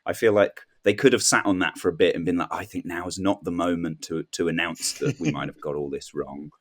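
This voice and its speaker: A man's voice: sits low, fairly even intonation, fairly quick. A man speaking as a comedy panel guest, casual and questioning, hesitantly working through his thoughts and acting out imagined remarks. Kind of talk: casual comic chat.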